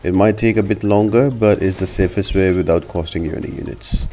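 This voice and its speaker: A man's voice: low-pitched, brisk, mellow, faint music behind. A man narrating a video game guide as the host, explaining things directly to his viewers.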